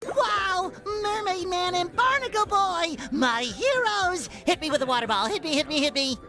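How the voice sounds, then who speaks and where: An actor voicing a naive, excitable young male sea sponge in a cartoon game, gushing with earnest enthusiasm. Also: very high voice, normal speed, heavily acted. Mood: starstruck. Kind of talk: cartoon video game voice acting.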